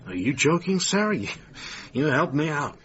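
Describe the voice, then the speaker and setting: An actor playing a smooth, manipulative theatre manager and controlling lover in a naturalistic radio drama. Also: male voice, a little high, sing-song, normal speed, fairly bright tone, muffled recording. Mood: upbeat, smug. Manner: cajoling, domineering, self-satisfied.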